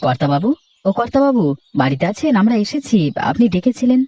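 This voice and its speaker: A man's voice: extremely high pitch, very animated, fairly quick, a little mellow. A man playing a harried village farmer in a cartoon, speaking in a respectful, earnest manner. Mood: eager, respectful. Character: deferential village farmer, plain-spoken and harried. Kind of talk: cartoon dialogue.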